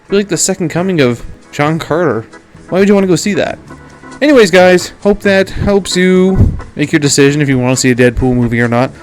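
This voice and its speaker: A man's voice: on the high side, lively intonation, brisk, faint music behind. A blunt, emphatic man with a Canadian accent giving opinionated film commentary as a podcast host, sometimes swearing.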